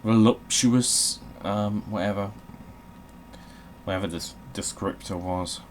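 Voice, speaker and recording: A man's voice: fairly low pitch, takes it slowly, slight background noise. A man hosting a gaming session with casual, sweary commentary, playfully theatrical and breaking into bits of song. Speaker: gaming show host. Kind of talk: casual game commentary with bursts of singing.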